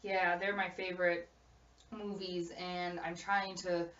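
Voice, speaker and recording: A woman playing a shy twelve-year-old immigrant schoolgirl in a counseling role-play, talking haltingly with many fillers and restarts. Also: lowish voice, level tone, a bit bright. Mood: hesitant. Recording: distant, roomy, dull recording.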